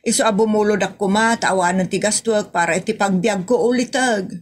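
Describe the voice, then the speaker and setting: A woman acting a plaintive, talkative Filipino woman in a comedy audio drama, with an Ilocano accent and drawn-out way of explaining. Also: mid-range voice, slightly rough, close to an everyday voice. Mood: pleading.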